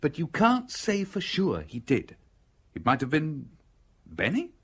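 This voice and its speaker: An actor in a radio drama playing a cool, wry, persuasive man who speaks with deliberate irony in a husky voice. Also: a little high, wildly swooping pitch, fairly bright tone, full character voice.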